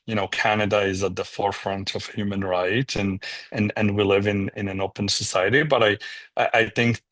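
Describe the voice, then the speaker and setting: Man from Morocco, low voice, dry studio sound, reflective, pauses with um and right. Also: little pitch movement, fairly bright tone, no echo. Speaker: founder of a nonprofit. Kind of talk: conversational interview answers.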